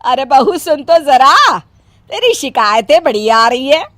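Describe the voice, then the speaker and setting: Woman playing a loud nagging village mother-in-law. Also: sits high, big pitch swings, measured pace, no echo, pitched above the performer's own voice, heavily acted. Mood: scolding.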